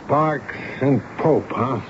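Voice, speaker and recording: A man's voice: medium pitch, natural voice. A man playing an authoritative, world-weary army major who commands a fort, in an old-time radio drama. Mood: stern, questioning. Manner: brisk, incredulous questioning of subordinates. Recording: muffled old radio recording, faint noise.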